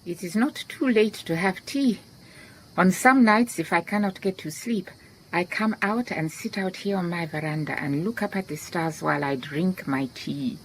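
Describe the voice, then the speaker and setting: An actress playing a calm, shrewd, kindly detective in a radio drama, with a Southern African accent and a measured, gently wry delivery. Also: female voice, lowish voice, a little hiss or hum, close to an everyday voice, low-quality recording.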